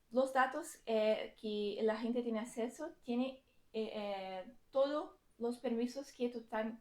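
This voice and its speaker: A woman from Brazil with a Brazilian Portuguese accent, giving a conference tech talk in a lecture hall, hesitant with many fillers and restarts. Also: a little high. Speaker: data engineering team lead presenting at a conference. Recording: echoey lecture room.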